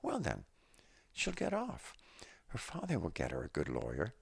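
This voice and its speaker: Storybook role: optimistic, reassuring female friend, playful teasing read by a very deep-voiced man. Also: wildly swooping pitch, moderate pace, vocal fry, slightly rough, heavily acted. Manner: teasing, encouraging. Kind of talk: storybook dialogue reading.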